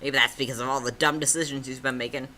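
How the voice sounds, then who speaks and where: A woman's voice: a bass voice, steady tone, fast talker, pushed and bright, a little hiss or hum. A woman reading a choice-based story game aloud as host, with casual, playful, sardonic banter. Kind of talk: casual playthrough narration with banter. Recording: music playing behind the voice.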